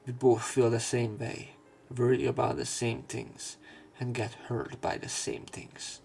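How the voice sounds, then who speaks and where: A man reading a visual novel aloud as a let's player, in an expressive, dramatic narration style. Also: mid-range voice, measured pace, vocal fry, soft background music, rough recording. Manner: expressive, with emotional swells.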